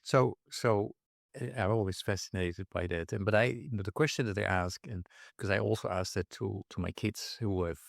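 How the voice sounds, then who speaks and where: A man's voice: lowish voice, normal speed, gravelly, no echo, clean studio sound. A middle-aged man hosting a podcast interview, easygoing and chatty, with frequent "you know". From Netherlands. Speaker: podcast host.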